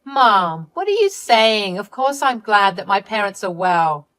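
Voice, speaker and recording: A woman voicing a self-pitying young adult sister who pleads and defends herself in a high, strained voice. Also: very animated, a little slow, fairly bright tone. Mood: pleading.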